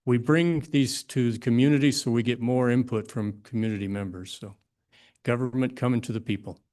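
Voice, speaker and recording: A man chairing a legislative committee hearing, relaxed and lightly joking as he calls up speakers. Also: mid-range voice, a little fast. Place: public hearing room.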